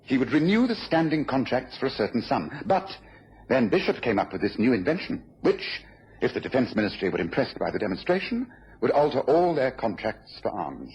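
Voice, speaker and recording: An actor playing a shrewd, commanding British detective in a mid-century radio drama, speaking precisely and briskly. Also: male voice, mid-range voice, sing-song, a little mellow, breathy edge. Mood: satisfied, explanatory. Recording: muffled, noisy old radio recording.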